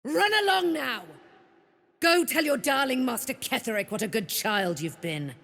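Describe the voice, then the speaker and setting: A woman's voice: high voice, expressive, normal speed, vocal fry, a bit bright, no room sound, heavily acted. A woman playing a proud immortal warrior, daughter of a moon goddess, in theatrical fantasy game style with grandiose, archaic diction. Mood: bitter defiance.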